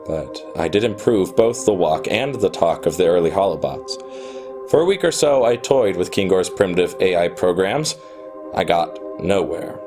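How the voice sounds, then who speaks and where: An actor playing a reflective, quietly self-satisfied programmer who narrates a radio play in a confiding way. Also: male voice, low-pitched.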